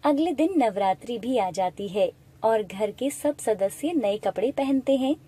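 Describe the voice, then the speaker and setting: Female storyteller for animated moral tales: high but mellow voice, scripted delivery, dry recording. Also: measured pace, breathy edge. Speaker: story narrator.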